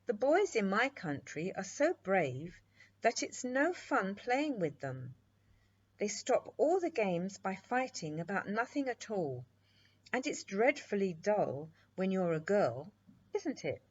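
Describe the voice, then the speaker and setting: A woman's voice: mid-range voice, big pitch swings, slow pace, slightly rough, highs cut off, heavily acted. A woman putting on an eager, lilting little princess. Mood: eager, earnest. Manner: self-assured, chatty, earnest.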